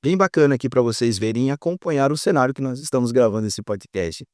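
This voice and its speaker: A man's voice: mid-range voice, animated, fast, darkish tone, dry recording. Paulistano man host, effusive and upbeat, medium voice, dry recording. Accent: São Paulo Brazilian Portuguese. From São Paulo, Brazil. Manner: enthusiastic, effusive. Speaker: podcast host. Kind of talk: casual interview chat. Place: outdoors.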